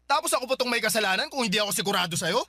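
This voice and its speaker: A man's voice: sits high, lively intonation, rapid-fire, sharp tone, no echo, clean studio sound, heavily acted. A man acting a tired young man defending himself in a radio drama argument with his girlfriend. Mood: arguing, weary. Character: weary young man in toxic relationship.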